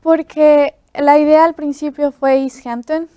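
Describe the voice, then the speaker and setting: A nineteen-year-old woman with an Ecuadorian accent, a guest telling her story softly with many fillers. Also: sits high, flat delivery, a little slow, no echo, studio quality. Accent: Ecuadorian Spanish. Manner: soft-spoken, many "am" fillers. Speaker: student guest. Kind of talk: interview.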